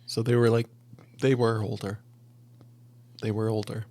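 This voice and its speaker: A man in his late thirties co-hosting a podcast, reacting in a relaxed, amused way during casual chat. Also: lowish voice, moderate pace. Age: late 30s. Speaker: podcast co-host.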